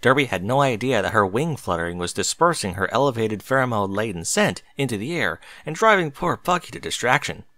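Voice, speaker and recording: A man reading a story aloud as an expressive narrator. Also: mid-range voice, fairly quick, few pauses, no echo.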